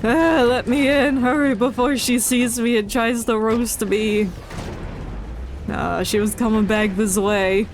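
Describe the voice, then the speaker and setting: A woman's voice: a little high, fairly even intonation, slow pace, a little hiss or hum. A woman with a congested voice giving playful, chatty, casual live commentary as a game streamer. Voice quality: congested, stuffy nose. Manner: playful, chatty, mock-indignant. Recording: faint game music behind. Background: game audio and music.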